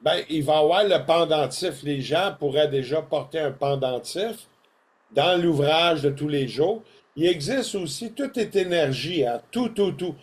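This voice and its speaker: A man's voice: on the high side, unhurried. Folksy older Québécois man. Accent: Québécois French. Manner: folksy, animated storytelling with colloquial asides. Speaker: co-host presenting products. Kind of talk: casual podcast chat.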